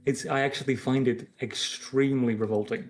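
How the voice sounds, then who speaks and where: A man's voice: mid-range voice, steady tone, fairly quick, a little mellow. Non-native English-speaking man, mid-range voice, teasing stream co-host, light music bed. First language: not English. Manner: playful, teasing, blunt. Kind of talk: casual livestream chat.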